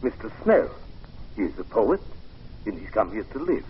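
Courtly ghostly gentleman, wildly swinging pitch, mannered, old radio drama on a muffled recording with hiss. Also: sing-song, measured pace. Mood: obsequious, polite. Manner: mannered, genteel, theatrical. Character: courtly, eerie ghostly male dweller of a night-time store.

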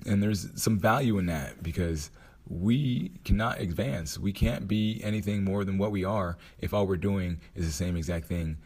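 A man hosting his own podcast, hoarse after a night out, talking casually and thinking aloud with frequent restarts. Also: sits low, normal speed, few pauses, darkish tone. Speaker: podcast host. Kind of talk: casual motivational monologue.

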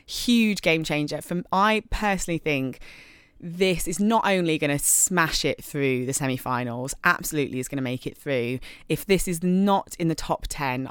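Gushing woman, smooth and dry. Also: low-pitched, unhurried, dry recording. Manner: gushing, enthusiastic, emphatic. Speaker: podcast co-host. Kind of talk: casual chat reviewing song contest entries.

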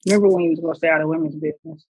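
American female podcast co-host, husky medium voice, matter-of-fact, on a slightly dull lo-fi recording. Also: racing, dry recording. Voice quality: monotone, medium-pitched. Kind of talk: casual unscripted chat. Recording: dull, muffled lo-fi sound.

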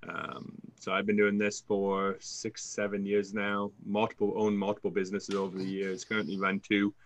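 A man's voice: on the low side, little pitch movement, moderate pace, fairly bright tone. A man with a Canadian accent, a sales coach answering interview questions in a confident, fluent storytelling way.

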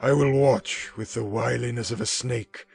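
A man voicing a lonely, vengeful male creature in a dramatic audiobook reading, with a slow, heavy, threatening delivery. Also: medium pitch, steady tone, creaky, husky, pitched above the performer's own voice. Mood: menacing. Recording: music bed underneath.

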